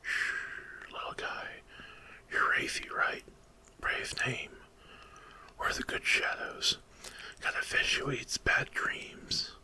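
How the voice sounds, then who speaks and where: A man voicing a chubby, caring male raccoon in a hushed, tender whisper for an ASMR story. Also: takes it slowly, pauses often, lightly acted. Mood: soothing.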